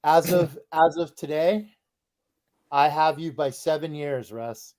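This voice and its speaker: A panelist in their sixties chatting casually on a live show, in a deliberate, chuckling manner. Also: medium pitch, darkish tone, no echo. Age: 60s.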